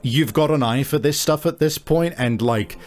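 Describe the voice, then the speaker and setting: A man improvising narration as a tabletop game master, halting and informal as he describes things. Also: on the high side, soft background music.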